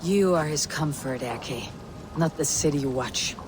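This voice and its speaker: A man plays a steady Viking leader, calm and earnest, his voice pitched a little high. Heavy rain noise sits behind him in this game cutscene. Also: a little slow, natural voice.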